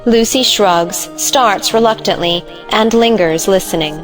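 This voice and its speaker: A woman's voice: mid-range voice, a little slow, faint music behind. A woman narrator reading stage directions in a scripted audiobook style.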